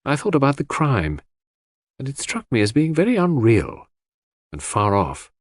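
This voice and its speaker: A man's voice: mid-range voice, huge pitch swings, moderate pace, dry recording, clean studio sound. A man reading a first-person story as an audiobook narrator, in a scripted literary style.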